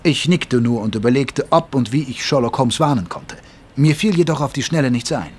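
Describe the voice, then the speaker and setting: An actor playing a sober, reluctant army doctor, narrating his story in an audio drama in a measured, dryly wry way. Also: medium pitch, expressive, husky. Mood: wary, dry. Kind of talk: scripted first-person audio drama narration.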